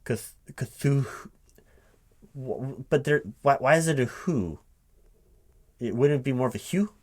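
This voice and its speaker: A man's voice: medium pitch, slow pace, pitched above the performer's own voice. A man playing a flustered but polite recruiting manager in a one-sided ASMR interview roleplay, hesitating, repeating words and asking puzzled questions. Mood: confused. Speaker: job interviewer. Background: computer keyboard typing.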